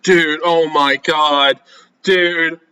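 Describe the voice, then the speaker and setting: A man's voice: fairly high pitch, steady tone, extremely slow, sharp tone, smooth. A young man playing a game on video, reading its text aloud and reacting in casual, jumpy slang as he talks to himself. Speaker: gaming video creator.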